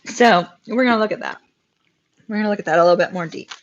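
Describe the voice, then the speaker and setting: A woman, giving a live tarot reading in a chatty way, with a voice going hoarse, laughing often and repeating words for emphasis. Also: mid-range voice, fast, muffled recording, slight background noise. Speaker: tarot reader.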